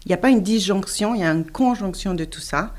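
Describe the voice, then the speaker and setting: A woman's voice: medium pitch, fast, darkish tone. A woman from Argentina, an intercultural coach, answering interview questions in a reflective way, often repeating words as she searches for them.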